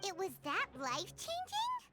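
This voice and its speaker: Very high, squeaky fairy sidekick. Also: female voice, huge pitch swings, normal speed, heavily acted. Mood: skeptical. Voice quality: squeaky, childlike. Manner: speaks of self in third person. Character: tiny childlike female fairy companion, excitable, blunt and suspicious. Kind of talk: anime video game voice acting. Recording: game music under the voice.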